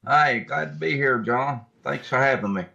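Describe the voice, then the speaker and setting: A man's voice: medium pitch, normal speed, vocal fry, bright, forceful tone. A man chatting casually as an interview guest in a plainspoken way, on dull lo-fi audio. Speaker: podcast guest, tournament kayak angler.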